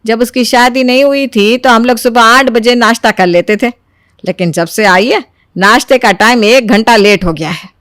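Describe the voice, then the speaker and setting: A woman voicing a domineering middle-aged mother-in-law who scolds and complains, in an animated family moral story. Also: on the high side, normal speed, no room sound, clean studio sound, close to an everyday voice. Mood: nagging.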